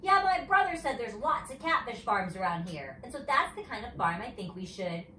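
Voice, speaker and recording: A woman's voice: fairly high pitch, very animated, moderate pace, pushed and bright, reverberant, recorded from a distance, slight background noise. A woman voicing an eager, headstrong young schoolboy in a storybook, with a boastful, childish manner. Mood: upset.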